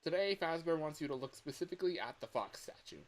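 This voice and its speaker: A man's voice: fairly high pitch, animated, quick pace, voice pushed up, lightly acted. A man voicing a chatty, resigned family-man coworker who is training a newcomer in a video game. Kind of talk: rehearsed game voice lines. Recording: clear background hiss.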